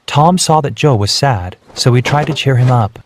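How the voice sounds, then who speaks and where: A man's voice: medium pitch, expressive, moderate pace, a little hiss or hum. A man reading scripted narration for a children's storybook, with music behind him. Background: background music.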